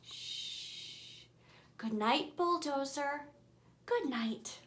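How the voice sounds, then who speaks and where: Very animated, high-voiced woman reading a storybook. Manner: expressive, theatrical, swinging from booming to hushed whispers; slow, deliberate, rhythmic delivery of rhymes. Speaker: author reading her own picture book aloud. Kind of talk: children's bedtime storybook reading. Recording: roomy, echoing room sound.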